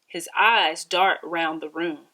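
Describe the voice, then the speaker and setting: A woman reading a children's story aloud in an expressive, deliberate storytelling style. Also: fairly low pitch, rough recording. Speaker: read-aloud storybook reader.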